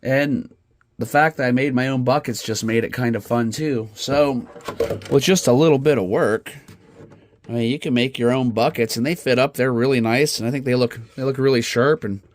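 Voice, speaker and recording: A man's voice: mid-range voice, moderate pace, slight background noise. A man with a head cold and a slightly nasal voice, chatting casually as an RC hobby host about his build. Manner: relaxed, chatty, with fillers and restarts. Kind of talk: casual how-to build commentary. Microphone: phone camera.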